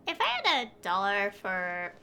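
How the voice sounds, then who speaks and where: A woman's voice: medium pitch, huge pitch swings, measured pace, pushed and bright, slight background noise. A woman streamer giving casual, rambling video game commentary, often interrupting herself. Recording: game music behind the voice. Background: video game music.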